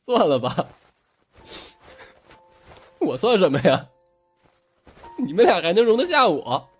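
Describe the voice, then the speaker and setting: Man acting a hot-tempered, wounded young man, wildly swinging pitch. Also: very animated, takes it slowly, slightly rough, heavily acted. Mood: bitter, aggrieved. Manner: confrontational, swearing, emotionally raw. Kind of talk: audio drama acting. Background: background music.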